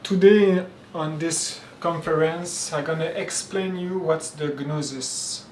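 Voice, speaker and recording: A man from Quebec, a native French speaker with a Québécois French accent, gives an informal spoken lecture with halting speech, many fillers and restarts. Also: a little high, steady tone, darkish tone, a little hiss or hum. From Quebec, Canada. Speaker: spiritual teacher. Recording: roomy echo, mic at a distance, faint ambience.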